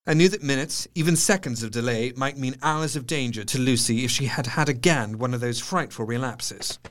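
A man's voice: mid-range voice, a little fast, fairly bright tone, natural voice. A man playing an anxious, grave Victorian doctor, speaking his diary in a formal, foreboding way in a gothic audio drama. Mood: mounting dread.

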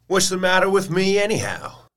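An actor playing a plain-spoken, gallant adventurer in a gothic audio drama, casual and forthright. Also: male voice, high voice, big pitch swings, normal speed, a bit bright. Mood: steady, helpful.

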